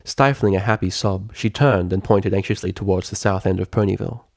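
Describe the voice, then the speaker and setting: A man reading fan fiction aloud as scripted, expressive story narration. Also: low voice, a little fast, vocal fry, no room sound, studio quality.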